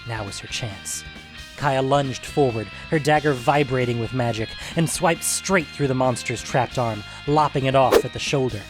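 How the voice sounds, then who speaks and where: Man narrating dark fantasy over music. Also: medium pitch, normal speed, poor audio. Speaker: story narrator. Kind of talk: scripted fantasy story narration, dramatic audiobook delivery.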